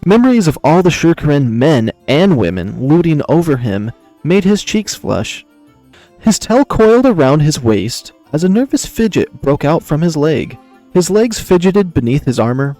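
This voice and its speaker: A man hosting a visual novel playthrough, switching between casual chat and expressive narration of the story. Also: fairly high pitch, normal speed, dry recording, faint music behind. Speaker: channel host playing and reading a visual novel.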